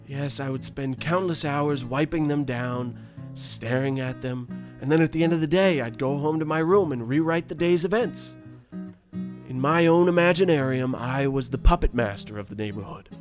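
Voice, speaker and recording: A man playing a nostalgic, oblivious, privileged old man who reminisces in a genteel, unhurried, folksy radio storytelling style. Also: medium pitch, close to an everyday voice. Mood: fond nostalgia. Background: plucked guitar music.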